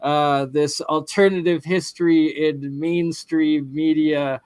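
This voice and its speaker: A male host with a fairly high voice talks in long, winding sentences that keep circling back, as if thinking aloud on a livestream. The sound is dry and close, with almost no room around it. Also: fairly even intonation, takes it slowly, darkish tone, clear, resonant tone, dry recording. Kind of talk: livestream discussion. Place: lives in Calgary.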